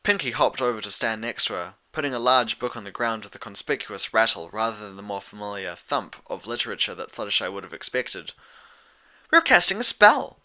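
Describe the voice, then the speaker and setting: Bright-voiced man narrating a story. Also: lowish voice, brisk, hardly any pauses. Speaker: audiobook narrator of fan fiction.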